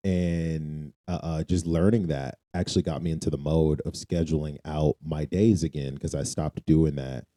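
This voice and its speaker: A man's voice: extremely low pitch, steady tone, moderate pace, few pauses, warm tone, no room sound, clean studio sound. A man co-hosting a podcast, talking casually about faith and repeating phrases for emphasis. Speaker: podcast co-host.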